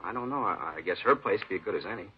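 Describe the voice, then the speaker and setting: Grumbly bartender in old radio show, slangy, quick, raspy voice, disapproving. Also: male voice, deep voice, animated. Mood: grumbling, gossipy. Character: chatty, disapproving, gossipy male neighborhood bartender. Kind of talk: 1950s radio drama acting. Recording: muffled old radio, faint music behind.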